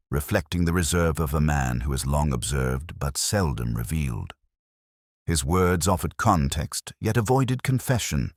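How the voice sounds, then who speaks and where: A man narrating a scripted first-person detective mystery in a measured, solemn, literary manner. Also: a bass voice, no room sound, studio quality.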